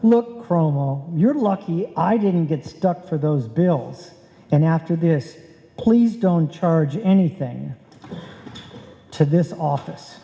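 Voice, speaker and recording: Man as a mellow, exasperated penny-pinching husband. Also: a little high, lively intonation, slow pace, vocal fry, full character voice. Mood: indignant. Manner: dry, wry, exasperated. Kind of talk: old-time radio comedy acting. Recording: dull, echoey hall stage recording.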